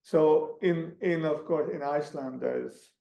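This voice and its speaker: A man whose first language is Hungarian, a guest speaker answering audience questions in an academic talk, halting with many restarts. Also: on the high side, vocal fry, lo-fi sound. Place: lecture room. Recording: echoey lecture room.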